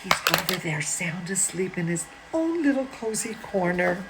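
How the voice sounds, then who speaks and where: A woman reading a picture book aloud to children, adding chatty asides in an expressive, drawn-out way. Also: lowish voice, very noisy. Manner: animated, drawn-out, expressive delivery. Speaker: storyteller, dance studio teacher.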